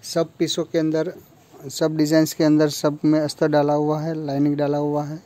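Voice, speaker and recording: A man's voice: on the high side, completely flat delivery, normal speed, warm tone. A young man from India in a clothing store, listing garment features in a plain, matter-of-fact showcase, recorded on a handheld phone. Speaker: kurti factory seller. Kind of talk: product showcase walkthrough. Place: clothing store with fabric shelves.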